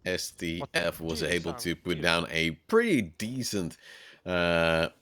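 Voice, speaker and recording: A man's voice: low-pitched, expressive, takes it slowly, pushed and bright. A man casting an online shooter tournament, giving play-by-play with chatty, joking asides. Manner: chatty, self-deprecating, jokey asides. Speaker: esports caster. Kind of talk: esports play-by-play commentary. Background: players' voice chat in another language.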